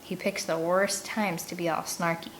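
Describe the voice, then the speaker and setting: A woman's voice: lowish voice, flat delivery, normal speed, a bit bright, a little hiss or hum, rough recording. A woman reading a first-person children's novel aloud as an audiobook, telling the story expressively. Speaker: audiobook reader.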